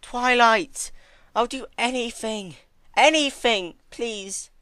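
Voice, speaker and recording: A reader puts on a frightened female pony princess begging for life. The voice is pushed very high and sharp. It sounds tearful and high-strung, pleading in desperation as in a melodramatic story reading. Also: slow pace, no room sound. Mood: desperate panic. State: crying.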